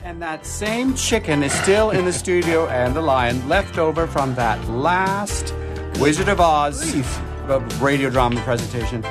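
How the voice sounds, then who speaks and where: A man's voice: fairly high pitch, animated, unhurried, over music. A man hosting a radio theatre show, giving a jokey sign-off.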